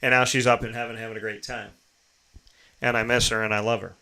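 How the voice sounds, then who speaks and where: Bright, forceful American man in his thirties. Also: fairly low pitch, little pitch movement, fast talker. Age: 30s. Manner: emphatic, repeats phrases and poses rhetorical questions. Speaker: show host. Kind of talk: motivational personal monologue.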